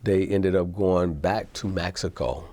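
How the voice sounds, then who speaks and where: A man's voice: low voice, fairly even intonation, measured pace, darkish tone. A man hosting a talk show who speaks in a casual, rambling way and often asks "right?" as he talks.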